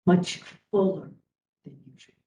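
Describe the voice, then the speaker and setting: A woman instructor leading a guided meditation in a soothing manner, speaking in short phrases with long pauses. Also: dark tone. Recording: roomy, distant mic, faint rumbling noise.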